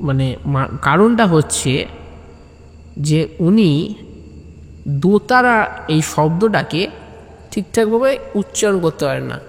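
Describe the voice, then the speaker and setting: A man's voice: sits high, animated, measured pace, pauses often. A man narrating a comic audio story informally, chatting and digressing straight to his listeners. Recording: long hall reverb, faint background noise.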